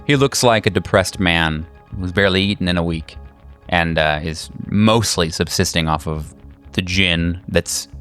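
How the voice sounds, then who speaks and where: Male game master, low voice, casual halting descriptive narration for tabletop horror, faint music underneath. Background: soft music.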